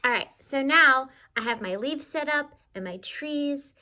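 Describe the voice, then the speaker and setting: A woman's voice: sits high, animated, unhurried, bright, forceful tone. A woman teacher giving a casual how-to lesson for children at home, explaining simply and often checking in with questions. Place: indoors at home.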